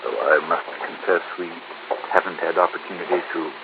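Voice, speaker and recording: A muffled, noisy vintage radio recording has a prim undertaker. The voice is very deep, with formal, hesitant phrasing and a fussy, easily flustered manner. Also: male voice, a little fast, creaky. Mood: apologetic, formal. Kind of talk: old-time radio drama acting.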